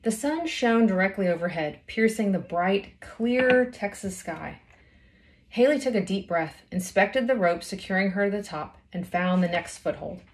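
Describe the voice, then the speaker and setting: A woman's voice: medium pitch, lively intonation, normal speed, poor audio. A woman in her thirties reading a children's novel aloud as a storyteller, recorded indoors at home. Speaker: storybook reader. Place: small indoor room at home.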